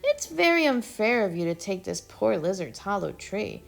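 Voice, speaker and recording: A woman's voice: mid-range voice, very animated, normal speed, gravelly, soft background music. A woman voicing a kind, brave little hazelnut girl who speaks firmly when she scolds. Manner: firm, scolding, kindly.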